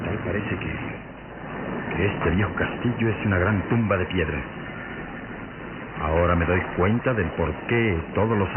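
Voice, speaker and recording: Casting: resolute gruff inspector, lowish voice, theatrical radio serial delivery, tinny sound. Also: male voice. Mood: uneasy awe. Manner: emphatic, theatrical. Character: stern, determined police inspector, gruffly commanding. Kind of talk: radio drama acting. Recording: noisy, tinny old radio drama recording.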